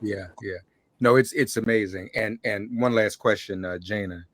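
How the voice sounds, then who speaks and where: A man's voice: on the low side, moderate pace, fairly bright tone. A man hosting a conversational panel interview, putting questions to his guests. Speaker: interviewer, host.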